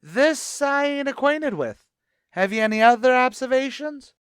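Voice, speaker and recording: A man's voice: very high voice, animated, measured pace, fairly bright tone, clear, resonant tone, no room sound, studio quality, full character voice. A man playing a brash, slangy tavern host with a New York accent in an old-time radio sitcom. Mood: eager, chatty. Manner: slangy, mangled grammar, eager chatter.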